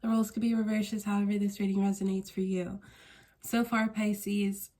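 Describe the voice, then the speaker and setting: A woman's voice: mid-range voice, flat delivery, normal speed, warm tone. A woman with a congested, stuffy voice giving a casual tarot reading as host of her channel, wandering through her thoughts and correcting herself as she goes. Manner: meandering, searching for words, self-correcting. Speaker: tarot card reader.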